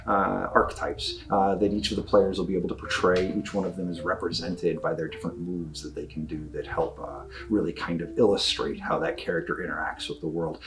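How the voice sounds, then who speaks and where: A man giving an enthusiastic, unscripted product review as a game shop presenter, effusive and emphatic, often repeating words for stress. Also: on the low side.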